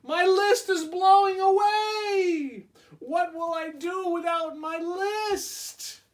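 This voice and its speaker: A man voicing a fretful, literal-minded toad in a children's storybook, with emphatic, deliberate delivery. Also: extremely high pitch, pitched above the performer's own voice. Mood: panicked wailing. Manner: emphatic, deliberate, wailing when upset.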